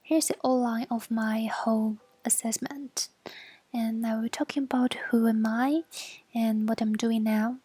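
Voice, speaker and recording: A young woman in her twenties, a native Mandarin speaker with a Chinese accent, presents her work from home for an assessment. She speaks haltingly, with many fillers, and drops to a soft whisper at times. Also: on the high side, slightly rough. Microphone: basic home equipment.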